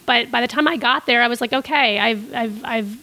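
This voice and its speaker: Middle-aged woman raised in Costa Rica, podcast guest, chatty and rambling, a touch high-pitched. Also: fairly quick, bright, forceful tone. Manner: rambling, many restarts and fillers. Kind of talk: casual interview storytelling.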